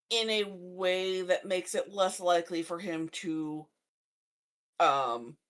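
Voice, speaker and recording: A woman playing a tabletop roleplaying game, speaking in character in a measured, deliberate manner. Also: lowish voice.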